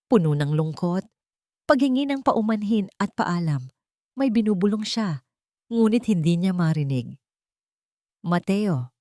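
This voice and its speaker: A woman's voice: fairly low pitch, dark tone, no echo, studio quality. A woman narrates a dramatic scripted story in a solemn, emotive way.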